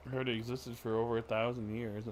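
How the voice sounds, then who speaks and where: A man's voice: mid-range voice, flat delivery, fast, slight background noise, natural voice. An actor playing a reserved, thoughtful man in a video game, restrained and pondering as he speaks. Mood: pensive.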